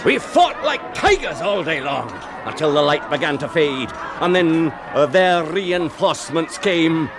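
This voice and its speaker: A man's voice: a little high, expressive, unhurried, few pauses, a crowd in the background, noisy background. An actor playing a boastful, gleeful Scottish cannibal outlaw in a radio play, telling his tale theatrically and with relish. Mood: rousing.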